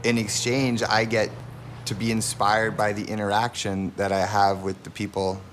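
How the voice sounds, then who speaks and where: A man explains his work in an interview. His voice is fairly low with little rise and fall, and faint scrapyard noise sits behind him. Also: flat delivery, moderate pace, fairly bright tone. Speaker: painter and designer. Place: home studio over a scrapyard.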